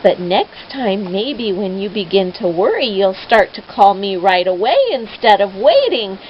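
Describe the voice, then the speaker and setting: Storybook role: kind male shepherd, read by a woman, unhurried, gentle, patient and reassuring. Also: medium pitch, lively intonation, pitched below the performer's own voice, natural voice. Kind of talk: children's storybook character voice. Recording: dull noisy lo-fi home recording.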